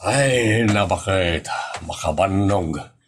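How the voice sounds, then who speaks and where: A man with an Ilocano accent playing roles in a comedy audio drama, theatrical, swinging from bright announcing to low grumbling. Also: animated, slow pace, a little hiss or hum. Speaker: narrator and voice actor.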